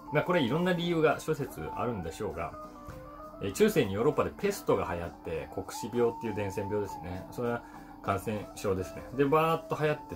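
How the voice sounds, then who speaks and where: Low-voiced man musing over music. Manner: thoughtful, unhurried musing with many fillers. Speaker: actor and voice actor. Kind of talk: casual vlog talk to camera. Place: indoors in front of a brick backdrop.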